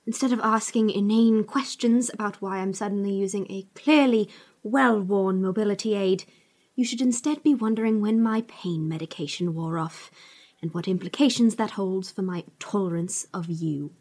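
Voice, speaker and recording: A woman's voice: mid-range voice, normal speed, a little mellow, close to an everyday voice. Woman acting a prickly, formal woman doctor. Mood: icy, scornful. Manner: clipped, formal, scornful. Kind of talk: audio drama acting.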